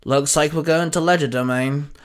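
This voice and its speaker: A man's voice: medium pitch, steady tone, unhurried, fairly bright tone, lightly acted. A man voicing a sarcastic alien hero in a narrated story, with a dry, eye-rolling manner.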